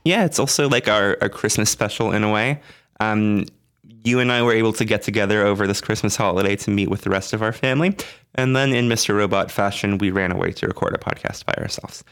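Hoarse man with a low voice. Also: fast talker. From Canada. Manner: relaxed, thoughtful, many "um"s. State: hoarse, getting over a flu. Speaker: podcast co-host. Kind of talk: casual chat. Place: dark basement room.

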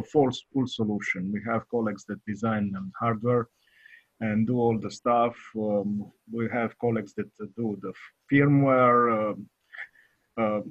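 Romanian-accented male guest, husky and hesitant, on dull dry remote audio. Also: medium pitch, no echo. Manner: halting, many hesitations and restarts. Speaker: guest developer. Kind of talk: interview answers.

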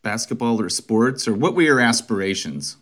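A man from Connecticut hosting an interview show, reading a polished, upbeat introduction and then asking relaxed questions. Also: medium pitch, moderate pace. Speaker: podcast host. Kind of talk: interview host with scripted intro.

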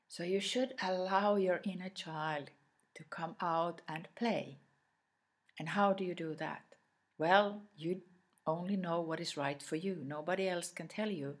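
A native Swedish-speaking woman gives an unscripted motivational talk, addressing the listener directly. Her voice sits a little low, and she speaks very slowly. She is reflective and often pauses partway through a phrase. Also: slightly rough. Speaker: inspirational speaker.

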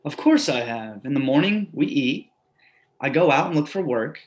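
A man's voice: mid-range voice, animated, normal speed, close to an everyday voice. A man in a play reading, playing an anxious, needy man with a stammering, pleading manner. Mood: defensive, anxious. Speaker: actor in a staged play reading. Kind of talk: readers theatre acting.

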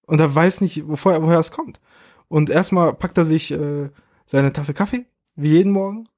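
A man's voice: fairly high pitch, moderate pace, a little mellow. A man co-hosting a podcast, improvising a story with many hesitations. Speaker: podcast co-host.

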